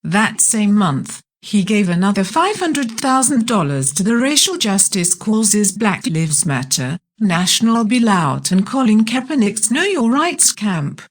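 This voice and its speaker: A woman narrating a news report in a measured, even read-aloud manner. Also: medium pitch. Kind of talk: scripted news reading.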